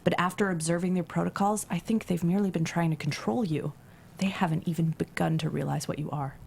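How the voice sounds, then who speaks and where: A calm, eerie female being in human form, acted by a woman at a table read. Her voice sits a little low, with formal, precise, commanding diction. There is faint noise behind it. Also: quick pace, creaky. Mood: grave, insistent. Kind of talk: dramatic script table read.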